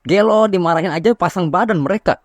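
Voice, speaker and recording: A woman's voice: on the low side, very fast, no room sound. A woman with an Indonesian accent giving casual gameplay commentary in a slangy, teasing way. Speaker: gamer. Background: game music.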